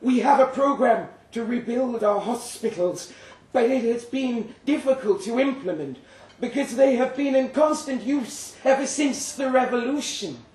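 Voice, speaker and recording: A man voicing a formal, apologetic monstrous creature in a slow, deliberate way, in a live story reading. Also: very high voice, darkish tone, recorded from a distance, voice pushed up. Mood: eerily polite. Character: courteous, apologetic monstrous creature, captor of humans. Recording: roomy live venue recording, faint noise.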